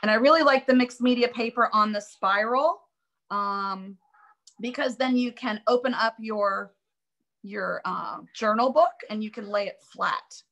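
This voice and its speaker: A woman's voice: fairly high pitch, measured pace, fairly bright tone. Chatty woman teaching a drawing class. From Florida. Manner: chatty, upbeat, thinking aloud with restarts. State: slightly raspy from allergies. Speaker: art instructor. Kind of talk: live online drawing class.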